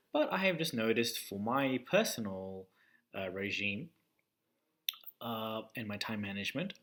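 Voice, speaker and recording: A young man speaks to camera in a relaxed vlog about his language studies. His pitch is in the middle of the range for a man, and he stops often with ums and uhs while he works out his thoughts. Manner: hesitant, many ums and uhs, thinks aloud. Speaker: language learning YouTuber. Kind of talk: casual talking-head vlog.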